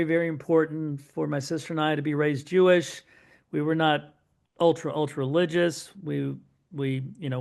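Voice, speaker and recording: Middle-aged man, slightly high voice, animated storyteller, podcast guest. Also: gravelly, smooth. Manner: lively anecdotal storytelling with frequent asides. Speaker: podcast guest, son of Holocaust survivors. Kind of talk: storytelling in an interview.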